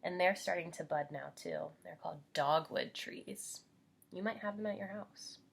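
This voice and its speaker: A woman's voice: fairly low pitch, moderate pace, gravelly, slightly rough. An American woman teaching young children as she reads a picture book aloud, explaining simply and putting questions to her listeners.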